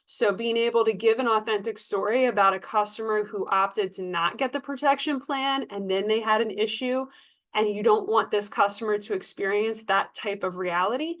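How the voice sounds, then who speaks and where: Woman sales trainer, chatty coaching style, kinda emphatic, medium pitch, talking to staff. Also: normal speed, fairly bright tone. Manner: coaching, emphatic, conversational, acts out example dialogue. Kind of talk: informal staff training talk.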